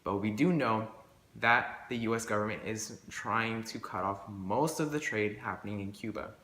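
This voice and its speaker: Male amateur newsreader, lowish bright voice, scripted bulletin delivery, recorded in an echoey room. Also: normal speed. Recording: echoey room with faint background noise.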